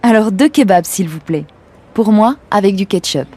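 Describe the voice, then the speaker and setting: An actress playing a brisk, bossy young woman in a clearly enunciated language-lesson dialogue. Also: mid-range voice, lively intonation, warm tone. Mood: cheerful, coaxing. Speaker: actor in French language-course dialogues.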